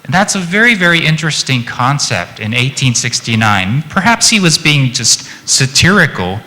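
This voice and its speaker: A Japanese man with an American-influenced accent giving a chatty, lively conference presentation and joking with the audience. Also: medium pitch, normal speed, long reverb tail, rough recording. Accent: American-influenced English. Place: conference hall.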